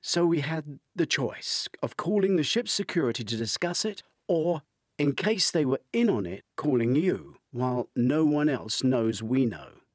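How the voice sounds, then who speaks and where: A man puts on a female client in a thriller audiobook. She is businesslike and insistent as she explains things on a call. The voice is lifted in pitch, a little husky and dry. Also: animated, unhurried, no room sound.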